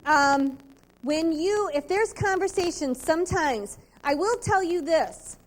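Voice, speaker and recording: A middle-aged woman, giving an upbeat, precise and animated sales training talk at a convention. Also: very high voice, takes it slowly.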